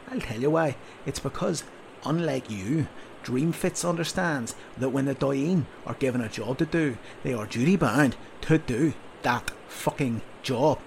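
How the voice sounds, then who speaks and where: A man voicing a blustering, sarcastic army sergeant who lectures a young soldier in loud, drawn-out scolds. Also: fairly high pitch, vocal fry, slight background noise, voice pushed up. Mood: sardonic scolding. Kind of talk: audiobook character voice.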